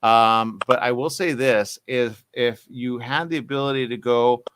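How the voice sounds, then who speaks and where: A man's voice: mid-range voice, a little slow, fairly bright tone, slight background noise. A man hosting an entertainment interview, upbeat and encouraging, asking long, rambling questions. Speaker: interview host.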